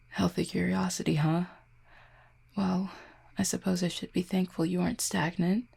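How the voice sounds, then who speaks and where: Woman voicing a gravelly, husky, wounded fallen cupid. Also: on the low side, little pitch movement, darkish tone, exaggerated voice. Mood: wry, guarded. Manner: formal, wry wording with weary sighs and hesitant pauses. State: in pain, strained. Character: injured fallen cupid, proud, formal, guarded adult. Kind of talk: intimate audio roleplay addressed to listener.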